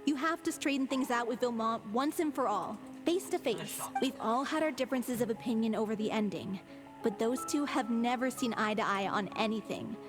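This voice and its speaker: A woman's voice: fairly high pitch, fairly quick, music in the background, lightly acted. A woman playing a spirited, forthright young member of a theatre troupe in a video game. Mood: firm.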